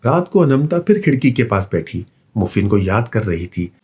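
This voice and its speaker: A man narrating a scripted children's bedtime story with an expressive storytelling delivery. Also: fairly low pitch, normal speed, slightly rough.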